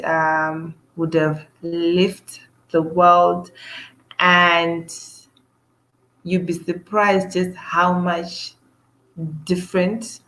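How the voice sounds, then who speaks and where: Slow, low-voiced woman host from Zimbabwe. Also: fairly bright tone, low-quality recording. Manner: hesitant, many "um" fillers and restarts. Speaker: show host. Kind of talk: casual monologue to camera.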